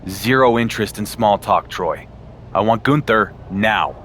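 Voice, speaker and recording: A man's voice: fairly low pitch, unhurried, fairly bright tone, slight background noise. An actor playing a confident young male wrestling champion in a video game, with a defiant edge. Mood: impatient. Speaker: player character.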